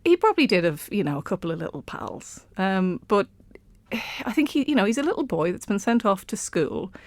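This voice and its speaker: A British woman talks through history in a lively, storytelling way, with frequent ums and asides. Her voice is close and dry, recorded in a studio. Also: mid-range voice, fairly quick, dry recording. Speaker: guest historian. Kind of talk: casual explanatory chat. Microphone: studio microphone.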